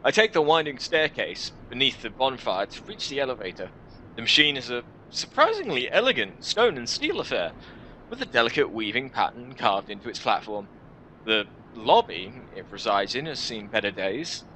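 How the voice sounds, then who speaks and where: A man hosting a gaming video, reading a visual novel aloud in an expressive, theatrical way with casual asides. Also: medium pitch, normal speed, a little hiss or hum, poor audio.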